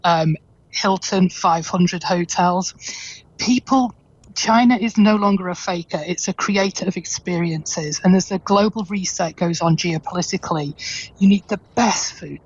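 A woman's voice: on the low side, unhurried, darkish tone, breathy edge, dry recording, dull audio. A woman business consultant speaking from an office, answering emphatically and upbeat. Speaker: international business consultant, guest. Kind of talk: interview answer. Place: indoors, office with window.

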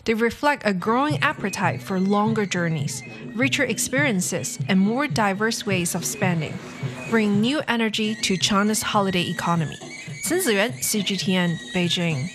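A female reporter reads a scripted news report in a crisp, even newsreader manner. Her pitch sits in the middle range. A clear music bed runs under her voice. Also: moderate pace.

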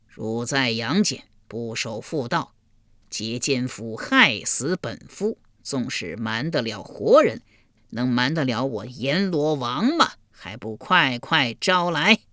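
A woman voicing a slow, booming King of Hell. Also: animated, fairly bright tone, no echo, studio quality, heavily acted. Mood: commanding. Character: stern old male King of Hell judging the dead.

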